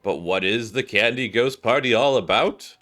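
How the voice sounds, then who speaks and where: A man doing casual game-stream commentary in an animated way, thinking aloud and sometimes reading on-screen text. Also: mid-range voice, moderate pace. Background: game music.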